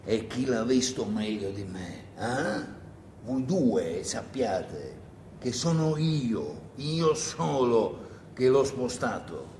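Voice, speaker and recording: A man's voice: lively intonation, takes it slowly, darkish tone. A man playing a hardened village miller in a stage play, blunt, proud and grimly emphatic. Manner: emphatic, declarative, grim. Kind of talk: solo theatre monologue. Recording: echoey room with noise and rumble.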